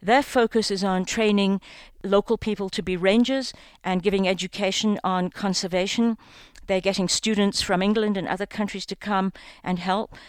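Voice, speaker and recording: Smooth, articulate interview guest from Kenya. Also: medium pitch, steady tone, moderate pace, dry recording. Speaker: guest, organization founder. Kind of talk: explanatory interview answers.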